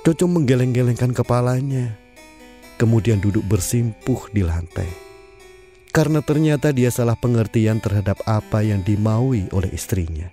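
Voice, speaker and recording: A man narrating an audio drama in a measured storytelling manner. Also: mid-range voice, mellow. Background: faint music.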